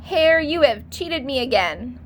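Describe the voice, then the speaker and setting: Lady reading as a grumpy bear in a kids story, fed up and scolding, highish voice, bit of noise. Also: animated, a little slow, poor audio. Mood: indignant. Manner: scolding, emphatic. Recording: light background noise.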